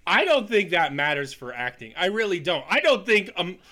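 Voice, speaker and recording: A man's voice: high voice, sing-song, normal speed, pushed and bright. A man giving opinionated takes in a casual movie discussion as a podcast panelist, swearing casually.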